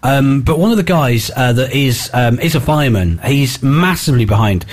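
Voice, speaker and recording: A man's voice: mid-range voice, animated, normal speed. A British man presenting on the radio, chatting and interviewing in an informal, rambling, jokey way.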